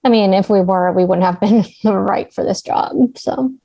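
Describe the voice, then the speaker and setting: A woman's voice: mid-range voice, a little slow, gravelly, mellow, no room sound. A woman acting a seasoned female climber, determined and level-headed. Her mid-range voice is conversational and recorded dry, as in a drama read-through. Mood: matter-of-fact. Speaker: actor in a table read. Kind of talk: conversational script reading.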